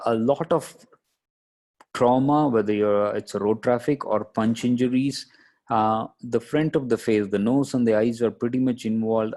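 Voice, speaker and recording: A man lecturing as a radiologist, explaining case images step by step in a halting, explanatory way. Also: medium pitch, darkish tone.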